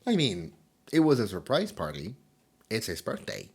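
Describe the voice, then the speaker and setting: A man's voice: lowish voice, huge pitch swings, normal speed, slightly rough, heavily acted. A man voicing a nervous, lonely demon host who stammers and giggles through an audio roleplay. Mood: awkward, giggly.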